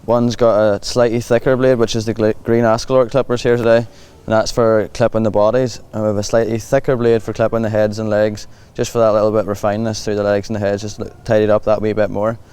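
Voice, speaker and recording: A man's voice: fairly low pitch, flat delivery, quick pace, few pauses, darkish tone. A man with a Northern Irish accent giving a plain, practical, reassuring explanation as he commentates on a hands-on demonstration. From Northern Ireland. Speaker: livestock clipping demonstrator. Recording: clear buzzing clipper and machinery noise.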